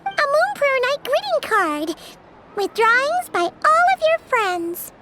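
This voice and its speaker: An actress voicing a bubbly, warm-hearted little girl in a video game, cheerful and eager. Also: very high-pitched, sing-song, slow, loud music behind the voice, full character voice. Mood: excited, affectionate. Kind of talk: video game cutscene voice acting.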